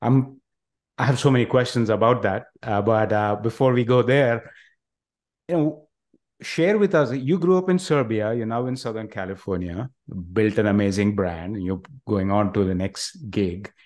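A man's voice: on the low side, normal speed, darkish tone. A man in his forties hosting a casual conversational interview over a video call. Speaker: interview host. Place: indoor room, video call.

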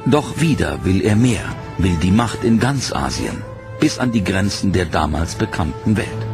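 Male doc narrator, low gravelly voice, lively delivery, music underneath. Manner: dramatic, measured storytelling. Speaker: documentary narrator. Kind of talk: scripted documentary narration.

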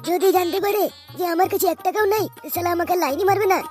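Swaggering cartoon lad voiced by a man, very high pitch, foul-mouthed, music underneath. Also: fast talker. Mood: scheming. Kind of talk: cartoon comedy dubbing.

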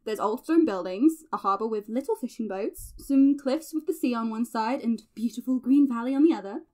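A young woman with a fairly high voice played by an actress hosts an audio drama diary. She is playful and nerdy, teasing her friend and narrating with a theatrical flourish. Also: brisk, a little mellow, natural voice. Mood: chatty, wry.

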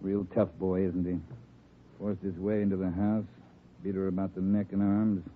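An actor playing a gruff police captain questioning his detectives in an old radio drama. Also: sits low, little pitch movement, normal speed, natural voice. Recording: tinny old radio broadcast with hiss.

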